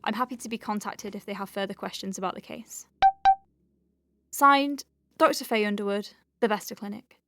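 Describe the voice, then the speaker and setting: A woman playing a conscientious, wry and compassionate doctor dictating patient notes in an audio drama, measured and articulate with conversational asides. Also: medium pitch, background noise, lightly acted. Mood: lighter, wry. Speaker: doctor at a clinic.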